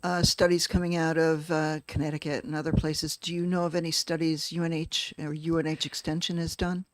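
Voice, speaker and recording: Brisk, polite woman chairing a hearing. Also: low voice, flat delivery, no room sound. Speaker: committee chair. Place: legislative committee hearing room.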